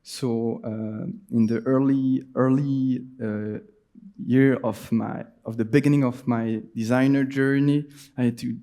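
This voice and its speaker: A young man from Switzerland speaks English with a Swiss French accent, presenting at a conference. He pauses often, fills gaps with "uh," and restarts his sentences. His voice sits at a middle pitch with a mellow, dark tone. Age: 20s. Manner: hesitant, frequent fillers and restarts. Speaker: designer giving a conference talk. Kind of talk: presentation talk.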